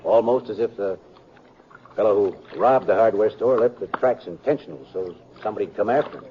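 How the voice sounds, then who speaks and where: A man's voice: fairly low pitch, a little fast, natural voice. Man acting a weary, folksy western sheriff. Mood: businesslike. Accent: American. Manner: plain-spoken, folksy. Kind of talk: 1950s radio western acting. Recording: muffled 1950s radio recording, faint noise.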